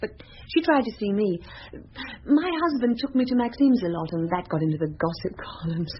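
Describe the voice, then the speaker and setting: A woman's voice: mid-range voice, expressive, fairly quick, natural voice. Woman playing a haughty, swooping young madame. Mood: scornful. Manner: haughty, theatrical, disdainful. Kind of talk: radio drama acting. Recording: dull, slightly noisy radio drama recording.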